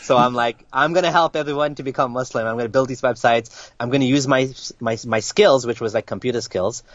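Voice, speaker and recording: A man's voice: mid-range voice, fairly quick, dry recording. A young man from Kenya, a guest in an interview, telling his story in casual talk with many restarts and fillers. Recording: dry, dull lo-fi sound.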